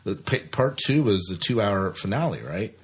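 A man's voice: lowish voice, lively intonation, moderate pace, husky. A man with an American accent co-hosting a podcast in a casual, chatty style. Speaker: podcast co-host. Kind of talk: casual sci-fi news chat.

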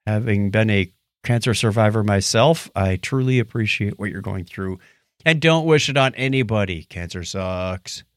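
A man's voice: lowish voice, moderate pace, no room sound, studio quality. An American man co-hosting a podcast in casual chat, with a joking, teasing manner. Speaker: podcast co-host.

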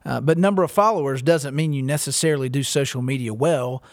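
A man's voice: medium pitch, fast talker, no echo, studio quality. A man in his thirties, hosting a casual podcast chat with jokes and many restarts. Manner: joking, talks through ideas with restarts. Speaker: podcast host.

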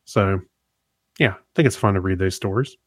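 A man's voice: low-pitched, moderate pace, no echo, clean studio sound. A man talking informally as a podcast co-host. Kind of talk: casual conversational chat.

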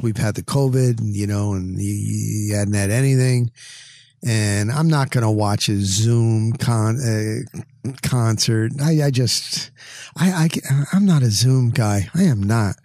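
A man's voice: medium pitch, a little mellow, dry recording, clean studio sound. A middle-aged American man from New Jersey hosting a podcast, telling casual anecdotes in short punchy phrases and acting out bits of dialogue. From New Jersey, United States. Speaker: podcast host.

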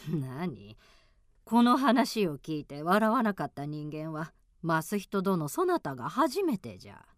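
Stern old crone, radio drama, low-pitched, slow, archaic diction, mysterious. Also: female voice, very animated, dry recording, clean studio sound. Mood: solemn. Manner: archaic old-woman speech, slow and weighty. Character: centuries-old mysterious old mountain woman, stern, prophetic.

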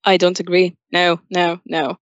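A woman's voice: fairly low pitch, monotone, measured pace, no room sound, highs cut off. A young woman from Ukraine, a native Russian speaker with a Ukrainian accent, giving earnest, emphatic answers as an interview guest. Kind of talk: spoken interview answers, personal opinion.

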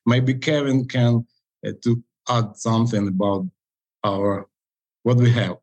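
A man with a Ukrainian accent, a company founder answering interview questions in halting English with many pauses and fillers. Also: medium pitch, steady tone, darkish tone, dull audio.

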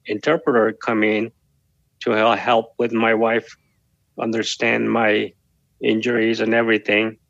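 A middle-aged man whose first language is Korean, answering interview questions slowly with many pauses and fillers. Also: fairly low pitch, completely flat delivery, creaky, fairly bright tone, no room sound, highs cut off. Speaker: guest. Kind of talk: podcast interview.

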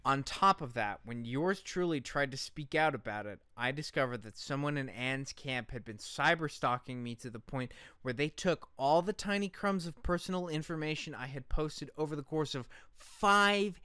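A man's voice: on the high side, moderate pace, bright, forceful tone, smooth, no room sound, studio quality. A man co-hosting a podcast, reading aloud and chatting in an informal, sweary way. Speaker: podcast co-host. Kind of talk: casual podcast chat and reading aloud from a post.

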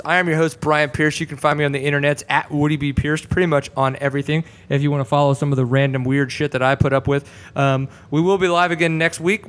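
A man in his thirties with a Texan accent, hosting a casual podcast chat, laid-back and jokey, swearing freely. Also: fairly high pitch. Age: 30s. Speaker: podcast host.

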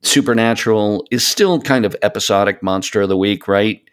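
Deep-voiced man, enthusiastic rambling talker. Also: fairly quick, smooth. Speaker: podcast guest, voice of an audio show. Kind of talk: casual conversational interview.

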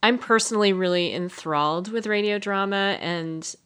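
A woman's voice: medium pitch, moderate pace, fairly bright tone, clean tone. A woman co-hosting a podcast, talking in a conversational introductory style. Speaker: podcast co-host.

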